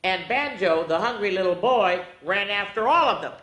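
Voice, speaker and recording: A woman hosting a children's story time, reading a storybook aloud in an animated, playful way with dramatic asides to the young listeners. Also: mid-range voice, moderate pace. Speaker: children's storyteller, show host. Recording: roomy, echoing indoor sound.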